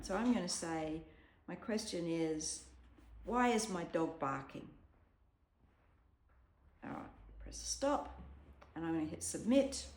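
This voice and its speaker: A woman's voice: fairly low pitch, a little hiss or hum. Casual woman explaining an app. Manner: chatty, explanatory, frequent ums. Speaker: app tutorial presenter. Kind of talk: casual walkthrough demo. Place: home room with a dog around. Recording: echoey room.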